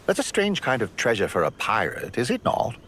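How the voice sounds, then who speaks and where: An actor playing a polite, earnest settler in a game cutscene, courteous and questioning in manner. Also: male voice, mid-range voice, wildly swooping pitch, a little fast, fairly bright tone, slight background noise. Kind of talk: dramatic game cutscene acting.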